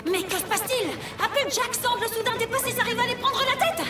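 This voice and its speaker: Cartoon dub: scatterbrained, bubbly pony announcer, extremely high, roomy distant sound over loud music. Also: female voice, quick pace. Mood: excited. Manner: bubbly, rambling, emphatic. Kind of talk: mock live race commentary in animation dub.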